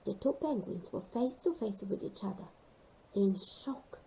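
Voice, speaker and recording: A woman reading a children's story expressively, animated and engaging young listeners. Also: mid-range voice, a little slow, a little hiss or hum, rough recording.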